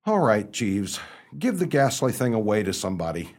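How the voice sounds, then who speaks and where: A man acting in a radio play as an excitable, foppish young English gentleman, theatrical and chatty. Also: on the low side, moderate pace. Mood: conciliatory. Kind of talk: radio play acting and first-person storytelling.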